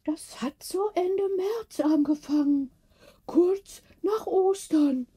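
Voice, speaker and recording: A woman doing a high-voiced, chatty little old lady. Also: takes it slowly, dark tone. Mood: confiding.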